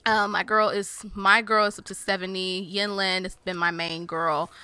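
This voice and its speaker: A woman's voice: mid-range voice, level tone, moderate pace, sharp tone, smooth, dry recording. A woman gaming host giving casual, chatty, informal commentary to her viewers while she plays.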